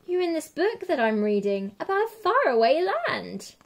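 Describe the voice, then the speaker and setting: A woman's voice: high-pitched, sing-song, moderate pace, voice pushed up. A woman voicing a bold, delighted young girl in a storybook. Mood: curious, delighted. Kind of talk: storybook character voice.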